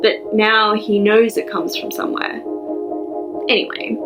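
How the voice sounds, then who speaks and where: A woman's voice: mid-range voice, fairly even intonation, a little slow, over music, lightly acted. A woman playing a chatty, upbeat young commenter, reading an online post within a short story.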